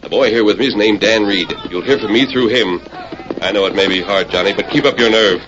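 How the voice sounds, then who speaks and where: Actor as low-voiced, commanding masked cowboy hero. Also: male voice, natural voice. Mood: firm, reassuring. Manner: firm, measured, authoritative. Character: steady, commanding masked cowboy lawman, adult man. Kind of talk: 1940s radio western acting. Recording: muffled, noisy 1940s radio recording.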